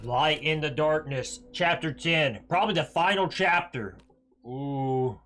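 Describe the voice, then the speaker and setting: Male gaming streamer, casual reactions, forceful bright tone, slightly high, faint music behind. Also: animated, a little slow. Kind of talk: casual game reaction commentary.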